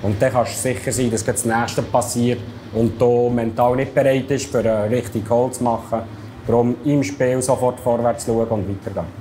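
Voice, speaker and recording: Schweizer Mann mit Schweizerdeutschem Akzent. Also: male voice, medium pitch, fairly even intonation, moderate pace, dark tone, slight background noise. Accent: Swiss German. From Switzerland. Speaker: ice hockey referee. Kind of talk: sponsored video voice-over and interview.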